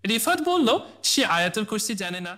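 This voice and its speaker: High-pitched, lively man telling a children's story. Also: brisk, raised voice, very noisy. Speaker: storyteller and channel host. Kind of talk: scripted narration of a children's cartoon tale.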